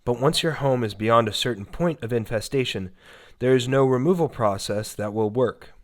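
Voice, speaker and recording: A man's voice: mid-range voice, normal speed, lightly acted. A man voicing an impersonal instructional warning tape in a horror story, formal and clinical.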